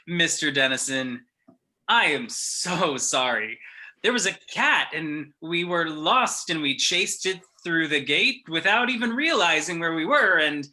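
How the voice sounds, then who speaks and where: A man's voice: a little high, bright, forceful tone, full character voice. A man playing a nervous teenage boy who babbles effusive apologies and excuses in a script table read.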